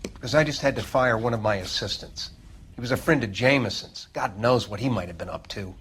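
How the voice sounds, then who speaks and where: An actor playing a grim, bitter police coroner in a 1940s crime game, speaking drily and precisely. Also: male voice, lowish voice, fairly quick, a bit bright, slight background noise, lightly acted. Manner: dry, precise. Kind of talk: video game crime drama acting.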